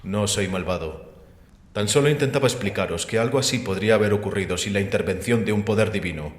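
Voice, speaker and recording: A man acting in a Spanish audio drama as a calm, sceptical, erudite figure, explaining in a measured, gently mocking way with rhetorical questions. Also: lowish voice, close to an everyday voice. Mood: calm, didactic. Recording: echoey hall with long reverb.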